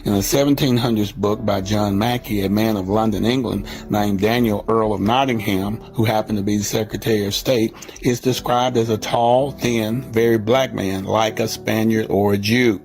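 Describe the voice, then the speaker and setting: A man giving scripted documentary narration in a measured, steady reading. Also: lowish voice, vocal fry. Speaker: video narrator. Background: faint music.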